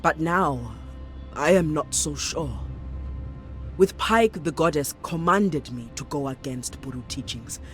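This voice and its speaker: An actress playing a commanding, devout warrior priestess in a video game, solemn, direct and deliberate. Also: female voice, low-pitched, lively intonation, soft background music. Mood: troubled, reflective. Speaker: voice actor. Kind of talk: dramatic video game cutscene acting.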